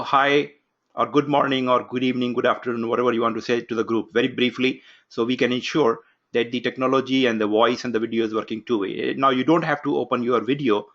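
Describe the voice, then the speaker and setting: A man opening an online course from his home office, giving instructions briskly and calling on participants one by one. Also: mid-range voice, fairly bright tone, highs cut off. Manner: brisk, instructive, takes roll call. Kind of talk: live online class introduction. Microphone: video call.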